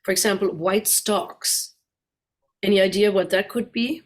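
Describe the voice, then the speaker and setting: A woman's voice: mid-range voice, fairly even intonation, a little slow. German-accented woman giving a slide talk. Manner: asks the audience questions, chatty. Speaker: guest speaker. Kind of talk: slide talk to a club audience.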